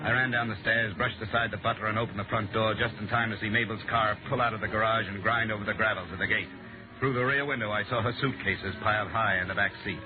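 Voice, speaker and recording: Guy acting as quick sardonic old-time radio private eye, lowish voice, thin noisy sound. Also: fairly even intonation, music in the background, natural voice. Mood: dry, wry. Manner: brisk, clipped, sardonic. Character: wry, hard-boiled male insurance investigator and detective. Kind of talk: 1950s radio detective acting and first-person narration. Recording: noisy, tinny old radio broadcast recording.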